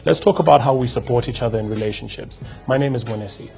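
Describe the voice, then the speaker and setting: A man's voice: mid-range voice, fast talker, vocal fry, over loud music. A man hosting a motivational talk, speaking reflectively with frequent restarts and rhetorical questions. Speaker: host. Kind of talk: casual motivational talk to camera.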